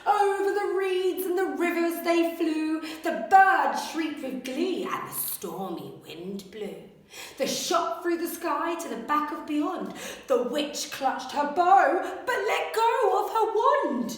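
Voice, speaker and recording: A woman teacher reading a rhyming picture book aloud to children, expressive and animated. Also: very high-pitched, takes it slowly, distant mic. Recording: echoey room.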